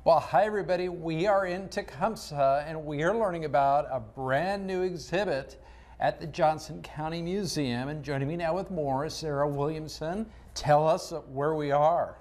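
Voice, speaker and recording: A man's voice: a little high, moderate pace, a little mellow. A middle-aged American man presenting and interviewing for a regional TV magazine show. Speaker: TV host, interviewer. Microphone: lapel mic.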